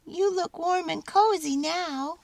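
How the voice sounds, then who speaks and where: A woman voicing a tiny, excitable, tender-hearted male mouse with a squeaky, expressive voice in a children's story. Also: slow pace, vocal fry, dry recording, exaggerated voice.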